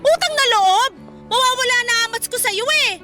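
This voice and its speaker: A woman's voice: extremely high pitch, animated, moderate pace. A woman playing a brash, manipulative young girlfriend in a radio drama, with a pushy, dramatic manner. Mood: angry, scornful. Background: faint background music.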